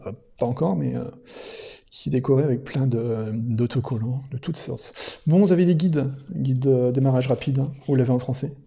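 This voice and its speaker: A man's voice: mid-range voice, moderate pace, gravelly, dark tone. A man presenting a product unboxing in casual, unscripted commentary, thinking aloud somewhat hesitantly.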